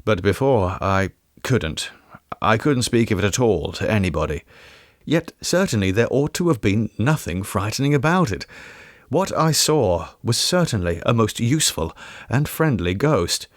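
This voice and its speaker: A man voicing a big, sceptical gentleman who talks in an assertive, articulate, explanatory way in an audiobook ghost story. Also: fairly low pitch, very animated, normal speed, no echo, clean studio sound. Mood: earnest, confiding.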